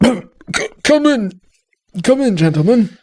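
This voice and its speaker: A man playing an injured, frail doctor in an amateur radio play, speaking formally and haltingly. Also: very high-pitched, sing-song, full character voice. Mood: polite, weakened. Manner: formal, halting, throat-clearing. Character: injured doctor, frail and apologetic, politely evasive. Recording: clearly noisy microphone feed.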